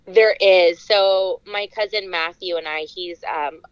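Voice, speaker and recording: Young california woman telling stories, laughs a lot, kinda low voice, dry sound. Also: slow pace, clear, resonant tone, no room sound, muffled recording. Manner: lively storyteller, laughs often. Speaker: podcast guest. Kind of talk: casual chat.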